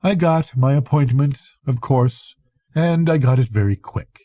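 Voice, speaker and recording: An older man, a grandfather, reading a literary bedtime story aloud in an unhurried, conversational storytelling manner with a husky voice. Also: medium pitch, mellow, no room sound, clean studio sound. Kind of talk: expressive literary audiobook reading.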